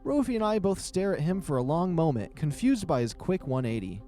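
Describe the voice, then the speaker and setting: A man reading first-person story narration in an expressive, dramatic storytelling manner. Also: fairly high pitch, a little fast, soft background music. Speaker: narrator and reader of a visual novel.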